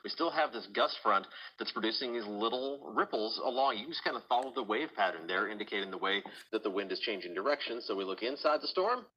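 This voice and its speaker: A man's voice: fairly low pitch, lively intonation, fast, hardly any pauses, slightly rough, lo-fi sound. A man working as a TV meteorologist, giving live severe weather commentary in a conversational, explanatory way.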